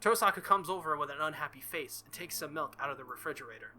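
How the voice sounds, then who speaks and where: Very bright, animated man with a higher voice. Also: fast, soft background music. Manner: animated, exclamatory reactions. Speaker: gaming YouTuber reading a visual novel aloud. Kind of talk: playthrough commentary and dramatic read-aloud.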